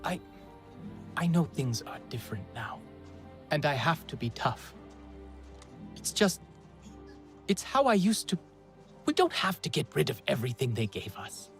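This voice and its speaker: A man's voice: fairly high pitch, sing-song, measured pace, vocal fry, long pauses, soft background music, exaggerated voice. An actor playing a young, sensitive Na'vi man in a video game, earnest and a little defensive. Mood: vulnerable. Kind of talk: video game dialogue acting.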